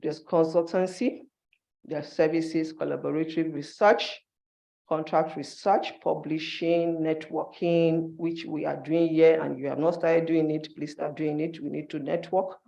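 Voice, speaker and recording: A man's voice: fairly high pitch, little pitch movement, measured pace, creaky, warm tone, poor audio. A man giving an instructive conference presentation in short, emphatic phrases, as a university staff member.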